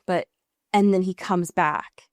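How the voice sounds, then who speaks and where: Woman, slightly low voice, dry close sound, casual recap, mimicked dialogue, podcast co-host. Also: fairly even intonation, takes it slowly, no echo. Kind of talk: casual chat recapping a TV show.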